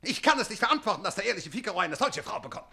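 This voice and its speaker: An actor playing an imperious, jealous, hot-tempered count in a German radio play, commanding and blustering. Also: male voice, sits high, sing-song, very fast, creaky, sharp tone, breathy edge, heavily acted. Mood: outraged.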